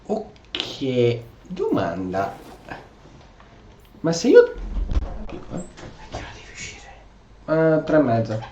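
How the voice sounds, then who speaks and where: A man's voice: medium pitch, extremely slow, long pauses, reverberant, dull audio, background noise. A man giving casual, reactive commentary as he plays a video game. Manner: animated, reactive. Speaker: gameplay streamer.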